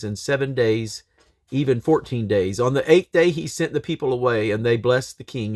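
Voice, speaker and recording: A man reads scripture aloud in a mid-pitched voice. His delivery is solemn and declamatory, set out in measured phrases with deliberate pauses. The sound is dry, with no room around it. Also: dry recording. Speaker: Bible reader.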